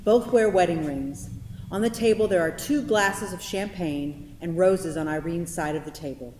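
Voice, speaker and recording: Female theater host, slightly low voice, live introductions and stage directions, reverberant hall with faint noise. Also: moderate pace, a little mellow.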